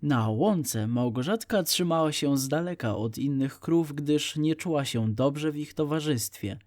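A man's voice: a little high, lively intonation, measured pace, darkish tone, dry recording, clean studio sound. A man narrating an audiobook story for young children.

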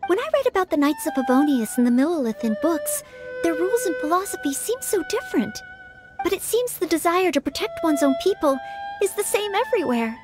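A woman voicing an earnest, gentle young maid who hopes to be a knight, polite and humble, in an anime-style video game. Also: extremely high pitch, sing-song, brisk, music in the background. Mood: gentle, reflective.

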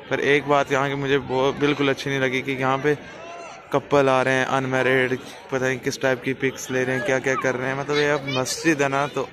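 A young man from Jammu and Kashmir, narrating a casual travel vlog into a phone, pointing things out to his viewers. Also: medium pitch. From Jammu and Kashmir, India. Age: young adult. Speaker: travel vlogger. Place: outdoors on busy streets, in vehicles and at monuments. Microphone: phone at arm's length. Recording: noisy city street with traffic.

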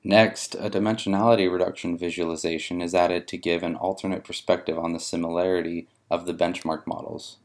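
Male narrator, low register, measured and methodical, for a scripted software demo. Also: little pitch movement. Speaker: software demonstrator. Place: voice-over for a screen recording.

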